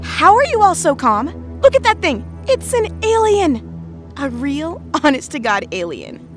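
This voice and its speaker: An actress playing an excitable young female mutant who exclaims eagerly. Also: high-pitched, measured pace, faint music behind. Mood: excited. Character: excitable young woman mutant, phasing teen.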